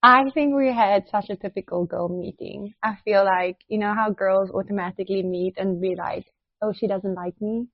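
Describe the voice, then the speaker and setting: A woman's voice: mid-range voice, no room sound. South African woman, 30s, podcast co-host, talkative, laughs easily; muffled lo-fi, dead dry room. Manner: chatty, laughs often, quick asides. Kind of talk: casual chat.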